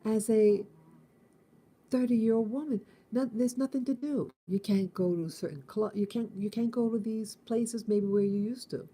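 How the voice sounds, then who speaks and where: A woman in her late fifties from the United States with a deeply mellow, dark tone. She talks through a morning reflection as the host. She thinks aloud, restarting phrases and circling back on her words. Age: 50s. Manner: halting, repeats and restarts, musing. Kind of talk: casual spoken morning reflection.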